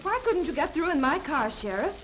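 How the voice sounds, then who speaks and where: An actress playing a plucky, determined young niece in 1930s radio drama style, earnest and insistent, on an old soundtrack. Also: female voice, sits high, big pitch swings, brisk. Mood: worried, insistent. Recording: faintly noisy 1930s soundtrack.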